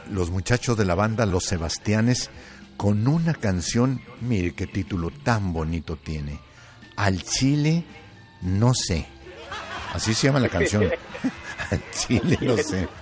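Playful joking radio host, low voice. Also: male voice, expressive, soft background music. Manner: playful, joking, drawn-out shouts. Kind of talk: lively radio call-in chat. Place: Los Angeles studio.

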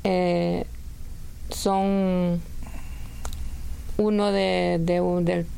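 Slow, even-toned Honduran woman. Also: on the low side, gravelly, slight background noise, low-quality recording. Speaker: interviewee. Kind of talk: personal testimony in an interview.

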